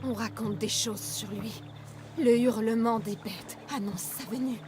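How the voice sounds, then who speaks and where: An actress as a distraught mother in a dramatic video game. Her voice is high and pleading, with worry running through it, over clearly audible music. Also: steady tone, measured pace, lightly acted. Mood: anguished, pleading. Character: distraught mother begging for her captured son's rescue.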